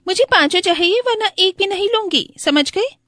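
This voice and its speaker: A woman acts out a spoiled young wife of a rich house in a cartoon tale. Her voice sits very high and close, with a sharp, haughty edge. She talks down to people, demanding and bossy. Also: animated, moderate pace, no echo, exaggerated voice. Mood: haughty, scolding. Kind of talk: cartoon moral story voice acting.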